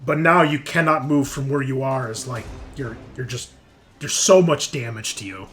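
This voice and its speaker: A man's voice: mid-range voice, normal speed, a bit bright, slight background noise. A man acting as game master, explaining rules and results in a tabletop roleplaying game.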